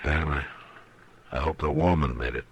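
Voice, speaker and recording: An actor playing a grave, steady Old West lawman in an old radio western, speaking in measured, terse phrases with hesitant pauses. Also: breathy edge, lo-fi sound, heavily acted. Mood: grim, steady. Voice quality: very deep voice. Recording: old radio drama recording.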